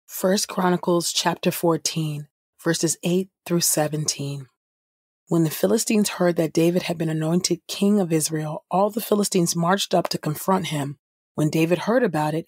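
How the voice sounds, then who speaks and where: A woman reading Bible passages aloud from a script in a measured manner. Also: fairly low pitch, a little mellow, breathy edge, dry recording, clean studio sound. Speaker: podcast host, scripture reader.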